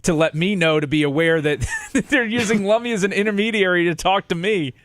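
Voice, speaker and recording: A man's voice: high voice, fairly quick, fairly bright tone, dry recording. A man co-hosting a radio show in casual banter on a close studio microphone. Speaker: show co-host.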